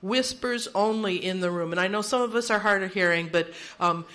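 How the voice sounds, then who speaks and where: A woman's voice: mid-range voice, fairly quick, fairly bright tone. An older woman who chairs and emcees a seniors' meeting in a large room. She speaks into a handheld microphone, giving orderly announcements with light humor and leading a prayer. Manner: orderly and practical, with light self-deprecating humor.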